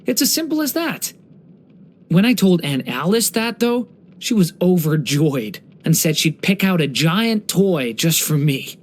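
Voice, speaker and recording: Gentle dragon boy from a video game, earnest n full of wonder, pitch goes up and down a lot, middle register. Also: sing-song, a little slow. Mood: innocent, cheerful. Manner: soft-hearted, earnest, wondering, careful storytelling delivery. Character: gentle, earnest young boy who was once a small dragon. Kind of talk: scripted game character voice lines.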